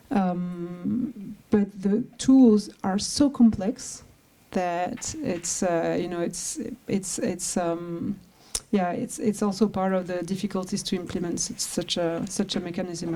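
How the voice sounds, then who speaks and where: A woman researcher answering audience questions, thinking aloud with hesitant, halting phrasing and many restarts. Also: on the low side, dark tone. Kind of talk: academic Q&A answer.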